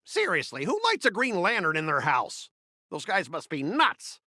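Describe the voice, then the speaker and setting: A man's voice: high voice, wildly swooping pitch, moderate pace, pushed and bright, dry recording, studio quality, full character voice. An actor playing a self-absorbed, coolly ruthless young man in a video game, speaking in a dramatic, reflective way. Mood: baffled, panicked.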